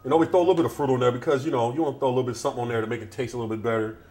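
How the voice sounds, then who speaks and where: A man's voice: mid-range voice, steady tone, very fast, mellow. A middle-aged man hosting a casual cooking demonstration in a home kitchen, chatting easily while he works. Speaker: cooking show host.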